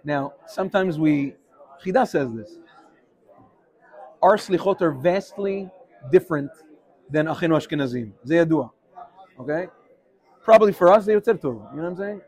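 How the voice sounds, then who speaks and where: Animated man teaching, slightly high voice. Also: brisk, long pauses. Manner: animated, mixes English with Hebrew phrases. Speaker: rabbi teaching a class. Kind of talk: informal Torah class with questions.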